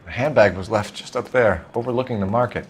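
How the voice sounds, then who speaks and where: An actor playing a stern young American police detective in a video game, questioning in a clipped, formal, accusatory way. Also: male voice, lowish voice, fast talker, slight background noise, natural voice. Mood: brisk questioning. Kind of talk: acted dramatic video game dialogue.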